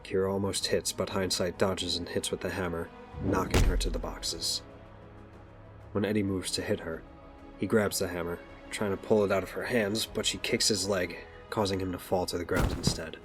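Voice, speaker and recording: Low-voiced man narrating an audio drama. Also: steady tone, moderate pace, music in the background.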